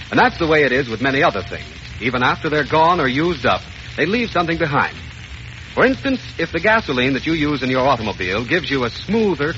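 A man's voice: a little high, pushed and bright. A man reading a scripted commercial as an old-time radio announcer, with polished salesmanship. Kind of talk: 1930s-40s radio ad read. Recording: muffled old radio recording, faint noise.

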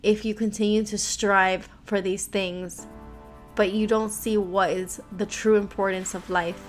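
Raspy American woman hosting a devotional. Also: medium pitch, level tone, measured pace, faint music behind. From Miami, Florida, United States. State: hoarse from allergies. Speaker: devotional podcast host.

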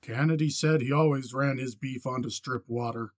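A man's voice: mid-range voice, fairly even intonation, moderate pace, dry recording, close to an everyday voice. A man voicing a heavy, sullen, hot-tempered rancher in a western audiobook, careful and grudging in speech.

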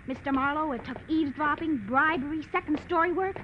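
A woman's voice: high voice, brisk, a little hiss or hum, close to an everyday voice. A woman playing a determined, earnest young woman who speaks insistently, in dramatic 1940s radio style. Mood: confiding, determined. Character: determined, urgent young woman seeking justice for her father. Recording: dull vintage radio recording with music.

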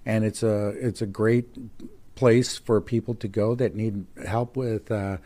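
A man born in Mexico and raised near Chicago, a chamber of commerce president talking casually in an interview, friendly, with many pauses and restarts. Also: on the low side, steady tone, warm tone. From Mexico, raised in Chicago area.

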